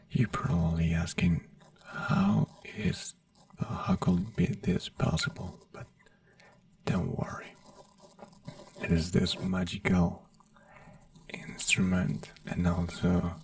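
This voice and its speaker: An ASMR creator in a doctor roleplay, whispering softly in slow, soothing, simple reassuring phrases. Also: sits low, gravelly, no room sound, recorded from a distance.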